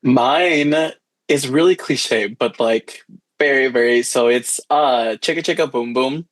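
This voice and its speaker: A man's voice: mid-range voice, takes it slowly, no room sound. A man whose first language is Spanish speaks as a guest on a podcast. He is earnest and reflective, with a mid-range voice. The recording is dry and close. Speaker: guest, early childhood educator. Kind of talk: conversational podcast interview.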